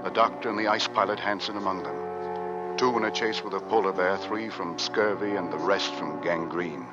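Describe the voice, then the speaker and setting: An actor playing a weary 1850s ship's officer, narrating a doomed Arctic expedition with grave drama in an old-time radio play. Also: male voice, low voice, steady tone, moderate pace, lightly acted. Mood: somber, weary. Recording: muffled vintage radio recording, loud music.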